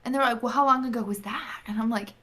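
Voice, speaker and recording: A woman's voice: on the high side, fairly even intonation, fast talker, a bit bright. A woman in her mid twenties, a teacher, chatting on a podcast call and acting out little anecdotes. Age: 20s. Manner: chatty storyteller, acts out dialogue. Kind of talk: casual chat, telling anecdotes.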